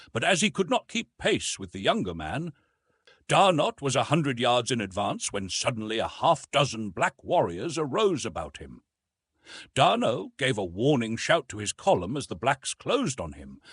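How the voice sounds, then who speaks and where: A man narrating a classic adventure novel in an expressive, theatrical storytelling manner. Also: mid-range voice, husky, dry recording, clean studio sound. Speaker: audiobook narrator.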